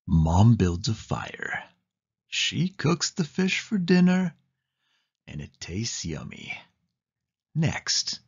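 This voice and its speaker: A man reads a children's picture book aloud in an animated, expressive storytelling style with deliberate phrasing. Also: on the low side, vocal fry, slightly rough, muffled recording. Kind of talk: animated read-aloud narration for young children.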